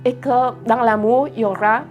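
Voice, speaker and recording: A woman in her sixties giving a slow, reflective personal testimony. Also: fairly high pitch, steady tone, darkish tone. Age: 60s. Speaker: interviewee. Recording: far from the mic with music behind.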